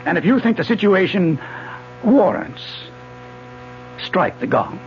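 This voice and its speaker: A man's voice: sits high, big pitch swings, unhurried, vocal fry, a little hiss or hum, heavily acted. An actor playing a retired older male physics professor, obsessive and insistent, in a radio drama lecturing style. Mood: persuasive. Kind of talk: 1970s radio drama acting. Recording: muffled old radio broadcast with music.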